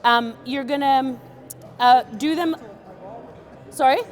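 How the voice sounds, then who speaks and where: A young woman tying a fishing fly in a joking, deadpan demonstration, with many ums and uhs. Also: high-pitched, slow. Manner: deadpan, playful, many fillers. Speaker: guest fly tier.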